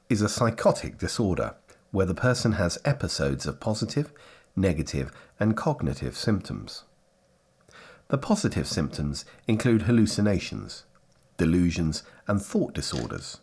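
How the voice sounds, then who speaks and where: A man reading scripted instructional narration for a training film in a measured, carefully phrased way. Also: low voice, very animated, a little mellow, slightly rough.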